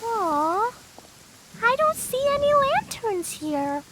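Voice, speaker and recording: Tiny high voice, eager innocent little girl, unhurried, anime game, music behind. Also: sing-song, heavy background noise, full character voice. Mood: excited.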